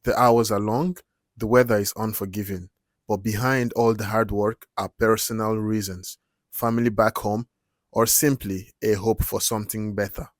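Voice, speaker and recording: A man reading a news feature narration in a measured, solemn way. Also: lowish voice, little pitch movement, dry recording, studio quality. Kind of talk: scripted news report narration.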